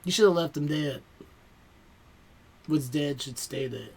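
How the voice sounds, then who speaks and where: A man's voice: a little high, measured pace, darkish tone, slightly rough. A man giving casual, exclamatory reaction commentary, talking back to the show he watches.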